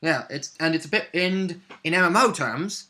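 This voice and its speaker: Male gamer, a little higher-pitched with a sharp bright edge, informal session recap. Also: expressive, normal speed. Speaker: tabletop role-playing game player. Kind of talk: casual chat recapping a game session.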